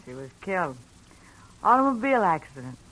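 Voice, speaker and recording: A bitter, worldly American dame in a vintage radio crime play. The delivery is terse, slangy and sardonic, with a hard, suspicious edge. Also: female voice, on the low side, very animated, fairly quick, a bit bright, rough recording. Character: jaded, hard-drinking, suspicious woman, tough and bitter. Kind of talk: 1940s-50s radio drama acting.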